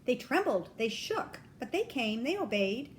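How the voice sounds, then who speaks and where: High, very animated woman reading a storybook. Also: a little slow, a little hiss or hum. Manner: expressive, simple child-directed talk. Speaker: grandmother reading to children. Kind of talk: storybook read-aloud with questions to children.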